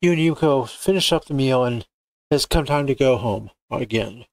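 A man's voice: mid-range voice, normal speed, no echo. A man playing a visual novel, casually reading the on-screen text aloud and commenting as he goes.

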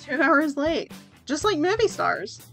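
A woman voicing a haughty, self-satisfied teenage girl in a comic fan dub, with a dismissive, deliberate delivery. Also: high-pitched, sing-song, gravelly, a bit bright, soft background music, exaggerated voice. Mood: sarcastic.

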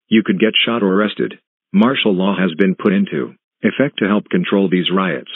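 Deep tinny male emergency alert announcer. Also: dry recording. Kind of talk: scripted emergency broadcast announcement. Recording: tinny phone-like band, muffled husky sound.

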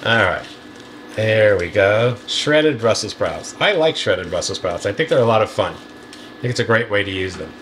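A man's voice: normal speed, noisy background. A middle-aged man co-hosting a cooking show, casually walking through his meal prep in a home kitchen with many fillers. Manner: easygoing, lots of fillers, pitch jumps up when excited. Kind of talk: casual cooking demo chat.

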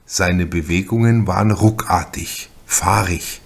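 Very deep, slow-paced male narrator. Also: creaky, slightly rough. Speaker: audiobook narrator. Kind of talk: scripted literary narration of a crime novel.

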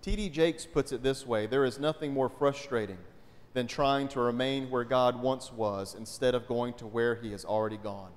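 Pastor, reverberant sanctuary. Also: male voice, mid-range voice, steady tone, moderate pace. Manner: conversational preaching with rhetorical questions to the congregation. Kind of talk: sermon. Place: large church sanctuary, indoors. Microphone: pulpit mic. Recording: long church hall reverb.

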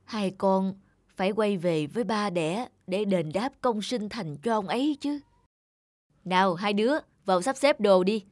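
A man's voice: high voice, measured pace, no echo, clean studio sound. An actor playing a devoted, sorrowful adoptive father in a cartoon, earnest and caring. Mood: sad, resigned. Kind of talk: animated moral tale dubbing. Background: loud background music.

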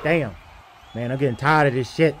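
A man's voice: medium pitch, normal speed, noisy background. A man doing a profane comedic sports voiceover in slangy, emphatic, mock-serious speech.

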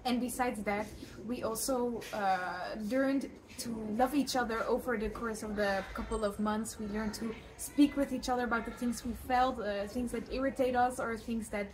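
Dutch woman speaking earnest, higher-pitched English. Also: steady tone, rough recording. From Netherlands. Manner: earnest, with frequent filler pauses. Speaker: lay missionary teacher. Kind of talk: casual testimonial to camera. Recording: background noise.

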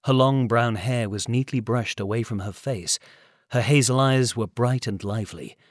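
A man's voice: medium pitch, moderate pace, dry recording, studio quality. A man reading an audiobook, giving scripted story narration. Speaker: audiobook reader.

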